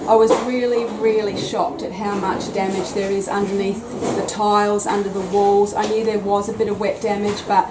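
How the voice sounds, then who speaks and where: A woman's voice: medium pitch, level tone, normal speed, hardly any pauses, distant mic. A woman in her forties with an Australian accent, a homeowner talking earnestly about her renovation. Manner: earnest, effusive. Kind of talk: casual chat. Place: house under renovation, indoors. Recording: echoey room, off-mic, loud noise.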